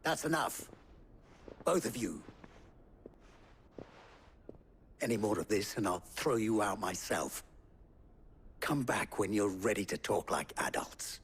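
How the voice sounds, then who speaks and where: An actor playing a stern, weary merchant and peacemaker in a game cutscene, speaking in a grave, formal, measured way. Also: male voice, on the low side, lively intonation, breathy edge, heavily acted. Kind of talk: dramatic game cutscene acting.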